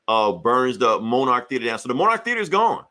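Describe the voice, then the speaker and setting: A man hosting a podcast, retelling comic book stories in casual, profane slang with animated delivery. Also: medium pitch, a little fast. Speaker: podcast host.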